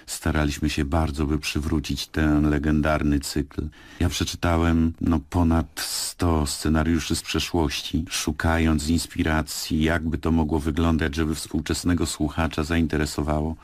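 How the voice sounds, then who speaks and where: A man talking as a radio drama director in a studio, thoughtful and measured, in short phrases. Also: very deep voice, completely flat delivery, no echo. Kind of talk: radio studio talk introducing a series.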